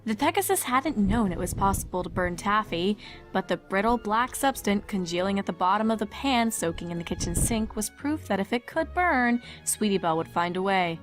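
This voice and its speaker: A woman's voice: mid-range voice, animated, moderate pace, music in the background. A woman reading scripted story narration as the narrator of a fan fiction. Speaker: fanfic narrator.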